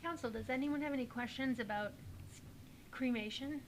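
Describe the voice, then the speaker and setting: A woman's voice: high voice, brisk, a crowd in the background. A woman council member hesitantly asking the council whether anyone has questions. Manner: hesitant, asks around the table. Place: council chamber.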